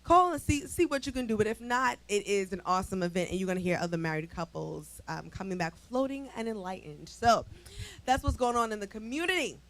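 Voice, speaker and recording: A woman's voice: medium pitch, animated, brisk. A woman co-hosting a community talk show, conversational and informal, moving between announcements and banter.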